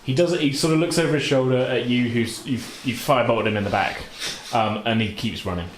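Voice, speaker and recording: Male game master, casual conversational narration, medium pitch, echoey room sound. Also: brisk, noisy background. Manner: informal, conversational. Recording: roomy echo.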